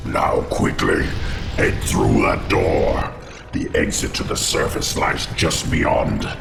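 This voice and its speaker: An ancient undead insect lord from a fantasy game, rumbles at an extremely low pitch. The voice is heavily gravelly and hollow, with slow, solemn phrasing. It echoes under music. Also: male voice, animated, exaggerated voice. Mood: grave, urgent. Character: ancient giant undead insect king, grave loyal guide. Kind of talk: fantasy video game acting. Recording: echoing voice under loud music.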